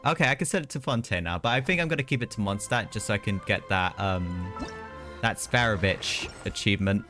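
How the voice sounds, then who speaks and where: A man's voice: on the low side, fairly quick, bright, forceful tone, soft background music. A man giving casual, off-the-cuff live commentary while streaming a video game. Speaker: game livestreamer.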